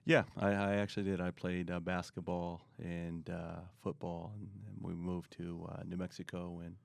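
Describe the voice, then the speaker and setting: A middle-aged man answering interview questions in a relaxed, rambling way, often pausing with fillers. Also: low-pitched, vocal fry, dry recording. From born in Korea, raised in the US. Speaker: guest, marketing expert.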